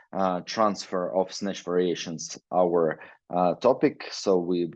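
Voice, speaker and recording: A man from Ukraine giving a webinar talk in halting English, with frequent fillers. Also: low-pitched, darkish tone, no echo, muffled recording. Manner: halting non-native English, many fillers. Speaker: guest presenter, weightlifting researcher. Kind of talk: webinar presentation. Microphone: video call.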